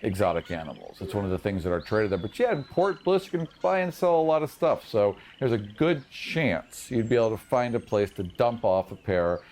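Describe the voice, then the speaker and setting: A man's voice: fairly low pitch, expressive, a little fast, hardly any pauses, darkish tone. A man acting as game master, narrating casually and improvising in blunt, informal, sweary speech.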